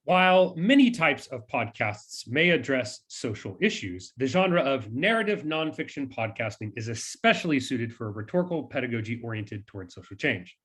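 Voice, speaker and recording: Mid-pitched man presenting academic research. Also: big pitch swings, moderate pace, hardly any pauses, a bit bright. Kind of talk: scripted academic presentation with brief asides.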